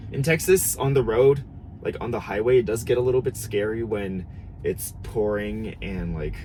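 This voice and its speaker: A congested, slightly nasal man chats loosely on a car vlog, drifting through fillers and restarts. Heavy engine and road rumble runs under his voice the whole time. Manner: rambling, relaxed, with many fillers and restarts. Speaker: vlogger. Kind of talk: casual vlog chat while driving. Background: car engine and road noise.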